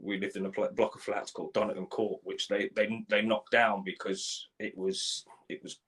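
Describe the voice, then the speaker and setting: A man in his forties from Ireland, telling his life story in a live interview, halting, with many restarts and repeated words. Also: lowish voice, gravelly, fairly bright tone, breathy edge. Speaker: guest.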